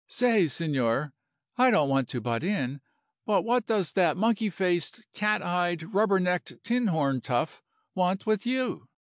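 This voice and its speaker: A man's voice: high-pitched, big pitch swings, slow, no echo, clean studio sound, voice pushed up, heavily acted. A man voicing a brash, flirtatious American hotel landlady with blunt, slangy talk.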